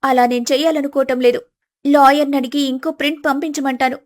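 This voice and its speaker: Woman as a high-voiced, resolute young wife. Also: little pitch movement, close to an everyday voice. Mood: firm, composed. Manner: measured, polite, resolute. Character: calm, firm, independent young married woman.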